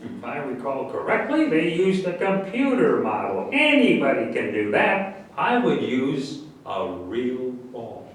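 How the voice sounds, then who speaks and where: Stage satire: male actor as self-assured, mocking rival politician, slow sarcasm, noisy roomy recording. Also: mid-range voice, sing-song. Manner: sarcastic, unhurried. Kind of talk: staged reading of a satirical play. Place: echoey room, far from the microphone. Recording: very echoey, distant, noisy hall recording.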